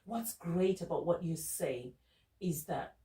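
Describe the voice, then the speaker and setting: A woman host with a mellow, warm tone draws out her guest and sums up the guest's points in an encouraging way. The recording is echoey, and she sits some distance from the mic. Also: on the low side, takes it slowly. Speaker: podcast host, interviewer. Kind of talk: interview.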